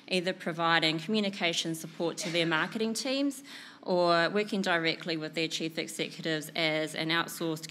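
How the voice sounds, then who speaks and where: A woman in her thirties with a New Zealand accent delivers a measured, prepared conference talk. Her fairly low voice has a bright, forceful edge. She speaks into a lectern microphone in an indoor conference room. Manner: clear, measured delivery from notes.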